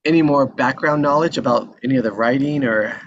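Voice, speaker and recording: A man's voice: medium pitch, brisk, fairly bright tone. A man hosting a casual group discussion, handing turns to the others. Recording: muffled video call with clear background noise.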